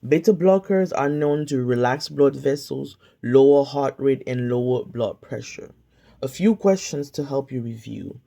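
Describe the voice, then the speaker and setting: Casting: calm woman study tutor, slow deliberate delivery, mellow tone, home phone recording. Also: very deep voice, gravelly. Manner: calm, measured, question-and-pause drilling. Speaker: pharmacy tech study tutor. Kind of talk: slow flashcard-style quiz review. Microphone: phone camera filming whiteboard.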